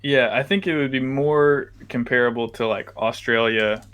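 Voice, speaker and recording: A man's voice: mid-range voice, fairly even intonation, normal speed, a bit bright, smooth. Young guy, laid-back co-host, hesitant with lots of fillers. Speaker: podcast co-host. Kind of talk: casual chat.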